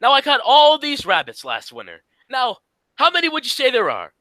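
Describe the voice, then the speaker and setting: Woman playing high-pitched, exasperated comedy straight man. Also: wildly swooping pitch, normal speed, a bit bright, no echo, full character voice, low-quality recording. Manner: scolding, emphatic, repeats phrases. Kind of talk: old-time radio comedy double act.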